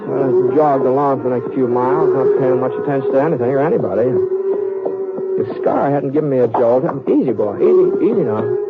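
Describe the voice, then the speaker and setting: A man's voice: medium pitch, close to an everyday voice. Man acting a folksy cowhand on tinny old radio. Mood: drowsy, reflective. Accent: American. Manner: folksy, halting, repeats words. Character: laconic, easygoing Western cowhand narrating his trail adventure. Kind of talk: 1950s radio western acting, first-person narration. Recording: old band-limited radio recording with music.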